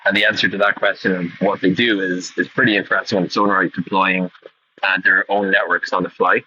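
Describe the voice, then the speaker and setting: A man's voice: low voice, flat delivery, fairly quick, sharp tone. A man thinking aloud in a casual, rambling way, often correcting himself as he asks a question. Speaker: podcast listener sending in a question. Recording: muffled, clearly noisy voice recording.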